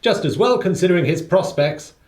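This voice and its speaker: A man acts out an affable British gentleman for a radio play, recorded in an echoing room. The voice is fairly high and lilts widely. He talks in a chatty, emphatic way, full of short phrases. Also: animated, brisk. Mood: emphatic, informative.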